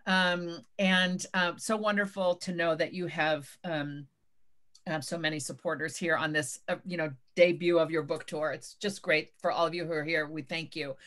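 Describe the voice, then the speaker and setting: A woman moderating a book conversation, measured and thoughtful with frequent hesitations. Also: sits low, steady tone, fairly bright tone. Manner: measured, many um and uh fillers. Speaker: interviewer and moderator.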